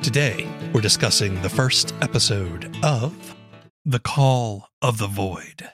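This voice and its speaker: A man's voice: lowish voice, slow pace, music in the background. A man hosting a podcast, reading scripted narration into a close mic. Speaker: podcast host.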